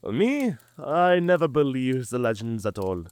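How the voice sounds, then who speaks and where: Cunning, proud werewolf, theatrical and mocking, unhurried drawl, close dry sound, audio drama. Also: male voice, mid-range voice, sing-song, darkish tone, smooth, no room sound. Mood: grave, intrigued. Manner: theatrical, drawling, chuckling delivery. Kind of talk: cinematic audio drama acting.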